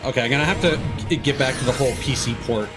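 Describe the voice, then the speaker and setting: A man's voice: medium pitch, very fast. A man doing casual, rambling livestream commentary over a video game, thinking aloud as he goes. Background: music behind the voice.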